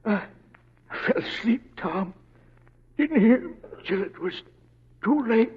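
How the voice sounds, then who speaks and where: A grizzled old frontier deputy, speaks in a high voice with a folksy drawl. It is western radio drama on a dull, aged recording. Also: male voice, expressive, slow pace, slightly rough, exaggerated voice. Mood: weak, dying. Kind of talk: 1940s radio acting.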